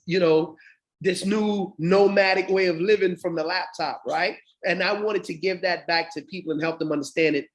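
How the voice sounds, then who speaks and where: A middle-aged Guyanese-accented man with a fairly high voice, chatting casually as a podcast guest. He tells his stories with animation and slips into the voices of the people he quotes. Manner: animated storytelling, acts out quoted dialogue. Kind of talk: casual interview talk.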